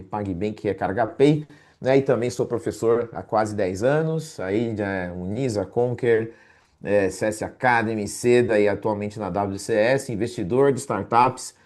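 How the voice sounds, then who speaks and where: A man's voice: on the low side, darkish tone. A man, giving a long, wordy self-introduction on a live video panel with many fillers. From São Paulo, Brazil. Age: 50s. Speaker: executive, board advisor and mentor. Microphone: video call.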